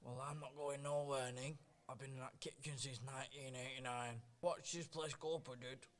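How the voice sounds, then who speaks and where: A man's voice: mid-range voice, fairly even intonation, moderate pace, slight background noise, lightly acted. A man playing a stubborn, long-serving community centre kitchen worker in a radio play, grumbling in colloquial speech. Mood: indignant, stubborn.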